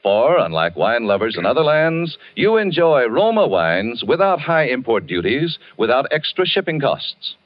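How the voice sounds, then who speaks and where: A man's voice: medium pitch, big pitch swings, normal speed, few pauses. A man reading a radio wine advertisement in a persuasive salesman's manner, on a muffled old broadcast. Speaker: commercial announcer.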